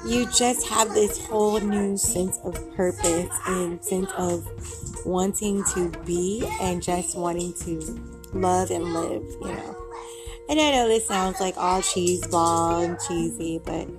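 A young woman with a raspy voice, talking at home in a rambling, giggly, upbeat way about positivity, with music behind her. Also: on the low side, slow. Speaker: vlogger. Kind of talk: casual motivational talk to camera. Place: home with kids playing.

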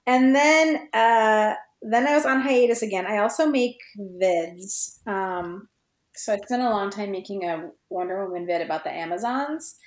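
A woman's voice: medium pitch, measured pace. A woman chatting casually as a podcast guest, informal and giggly, thinking aloud as she goes. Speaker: podcast guest, fan fiction writer. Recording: roomy, echoey sound.

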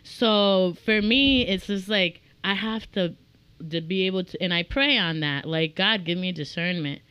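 A woman in her thirties from the Dominican Republic, a realtor talking openly in a casual podcast chat, animated and laughing often. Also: lowish voice, clear, resonant tone, dry recording, dull audio. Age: 30s. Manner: animated, laughing, talks in quick bursts. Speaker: realtor guest.

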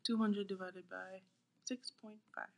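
A woman's voice: medium pitch, level tone, unhurried, vocal fry. A young woman from Trinidad, co-hosting a podcast in laid-back casual chat, laughing often and trailing off mid-thought. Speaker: podcast co-host.

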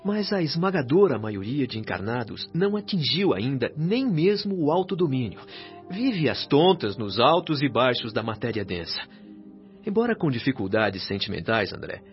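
Actor as wise Brazilian spirit guide, expressive delivery. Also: male voice, mid-range voice, natural voice. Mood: earnest, admonishing. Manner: measured, didactic, formal. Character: wise, patient male spirit guide explaining afterlife colony. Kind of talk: radio drama acting, didactic dialogue. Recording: dull lo-fi radio drama audio. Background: music.

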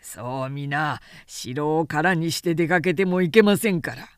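A woman's voice: low-pitched, a little slow, smooth, no room sound, clean studio sound, pitched below the performer's own voice. A woman voicing a boisterous, confident male warrior general with emphatic, old-fashioned speech in an audiobook reading. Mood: confident, eager.

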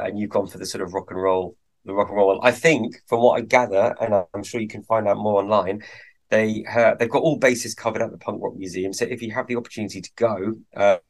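A man co-hosting a podcast, chatting casually with a hesitant, rambling manner and many fillers. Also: lowish voice. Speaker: podcast co-host.

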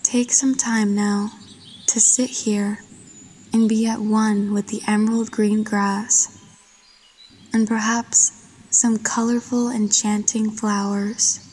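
A woman narrating a guided meditation in a soft, breathy voice, with a soothing, gentle and unhurried manner. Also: medium pitch, level tone. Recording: long washy reverb.

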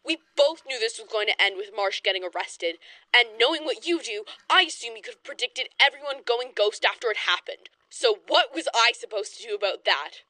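Bright-voiced, determined 1920s woman researcher. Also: on the high side, expressive, brisk, dry recording, low-quality recording. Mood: urgent. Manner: blunt, emphatic, sweary. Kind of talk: audio horror drama acting.

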